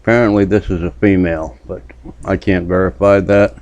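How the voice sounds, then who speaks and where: Deep-voiced sardonic commentary host. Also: male voice, steady tone, darkish tone, muffled recording. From New Hampshire, US. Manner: dry, sardonic, drawn-out remarks. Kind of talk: casual reaction commentary.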